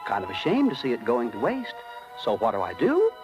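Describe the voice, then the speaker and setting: A man's voice: mid-range voice, wildly swooping pitch, brisk. A man playing a folksy little cricket who narrates with wry asides in 1930s radio style. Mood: folksy wonder. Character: chirpy folksy little male cricket storyteller. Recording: vintage 1930s radio, music behind.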